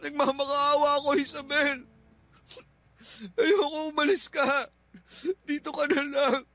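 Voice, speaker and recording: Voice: high-pitched, fairly even intonation, a little slow, bright, forceful tone, soft background music, full character voice. An actor playing a jealous, wounded boyfriend in a radio drama, insistent and emotional as he confronts and pleads with a partner. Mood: tearful begging.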